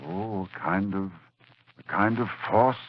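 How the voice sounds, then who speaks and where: Grave, low-voiced learned doctor, muffled radio. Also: male voice, steady tone, dry recording. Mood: grave awe. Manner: solemn, deliberate, theatrical. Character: grave, learned male doctor explaining an otherworldly horror. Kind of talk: 1940s radio horror acting. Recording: muffled 1940s radio recording.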